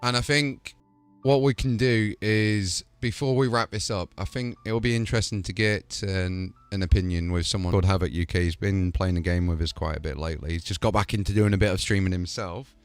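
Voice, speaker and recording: A man's voice: low-pitched, brisk, smooth, no echo, soft background music. A man hosting a gaming channel, chatting casually and enthusiastically about game add-ons before a promotional wrap-up. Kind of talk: casual showcase chat and promo.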